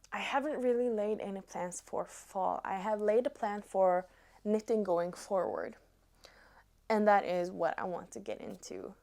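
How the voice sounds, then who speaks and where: A woman in her twenties from Norway with a Norwegian accent, chatting casually to viewers as a knitting vlogger, pausing between short phrases. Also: medium pitch, darkish tone. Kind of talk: casual chat to camera.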